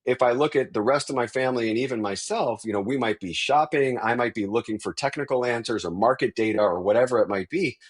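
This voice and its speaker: A man guesting on a podcast, explaining business and technology trends at length and thoughtfully, with the odd restart. His voice is slightly husky and sits at a middle pitch. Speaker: podcast guest, tech executive. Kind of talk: conversational interview explanation.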